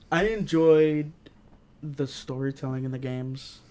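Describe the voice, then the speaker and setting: A man co-hosting a podcast, giving a casual, halting review as if thinking aloud. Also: on the high side, mellow. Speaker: podcast co-host, movie reviewer.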